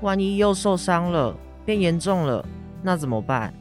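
A man's voice: high-pitched, very animated, moderate pace, faint music behind. A teenage boy with a Taiwanese Mandarin accent acting a caring schoolboy son in a radio drama. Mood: worried, scolding. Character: Ah-Zhong, caring schoolboy son, nagging his injured mother. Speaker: student voice actor.

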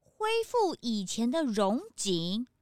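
A woman voicing an excitable, blunt and curious young detective's sidekick in a children's audio drama. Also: on the high side, slow, no echo. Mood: surprised, doubtful.

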